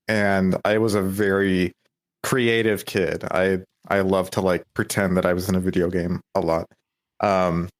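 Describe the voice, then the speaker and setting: Chuckling young man, low voice. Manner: self-deprecating, many fillers, laughs easily. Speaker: podcast guest. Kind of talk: casual interview chat.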